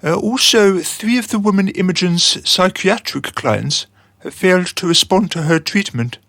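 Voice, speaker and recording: Male actor as obedient young servant, high-pitched voice, close dry sound, earnest, formal, satirical radio play. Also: moderate pace, creaky, a bit bright, dry recording. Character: deferential, eager young male underling called Boy. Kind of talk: satirical radio drama acting.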